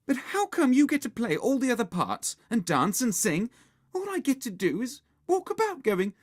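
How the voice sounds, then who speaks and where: Performer doing a high, sulky young girl. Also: wildly swooping pitch, brisk. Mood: sour, complaining. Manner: sulky, complaining. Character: sour, complaining young girl, put-upon friend.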